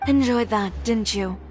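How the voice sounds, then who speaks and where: A woman's voice: on the high side, darkish tone. A woman playing a poised, self-assured young designer in a video game, composed and dryly teasing.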